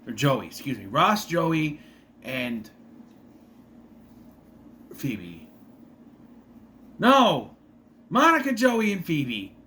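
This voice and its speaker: A man's voice: on the high side, very animated, extremely slow, long pauses, sharp tone, slight background noise. A man casually recapping a sitcom episode, rambling, correcting himself and briefly putting on the characters' voices. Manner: rambling, self-correcting, opinionated asides; briefly mimics characters while retelling. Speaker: show recap vlogger.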